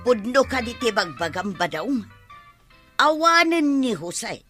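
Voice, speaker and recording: An actress playing a firm, reproachful village woman in a radio drama, speaking in a measured way. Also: on the high side, sing-song, over music.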